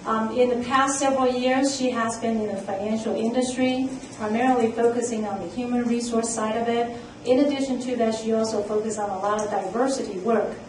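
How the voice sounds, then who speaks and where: A woman's voice: fairly high pitch, fairly even intonation, a little fast, few pauses, a little mellow, a little hiss or hum. A woman presenting at a conference, speaking earnestly with frequent fillers. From immigrant. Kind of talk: conference talk. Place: conference room. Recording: echoey room, mic from far off.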